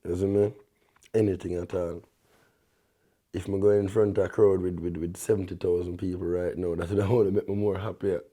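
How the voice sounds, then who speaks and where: Deep-voiced young Jamaican man, laid-back. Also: little pitch movement, a little fast, mellow, husky. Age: young adult. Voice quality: deep bass voice. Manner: laid-back, peppers talk with "you see me?". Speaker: guest dancehall artist. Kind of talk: relaxed interview talk in Jamaican patois.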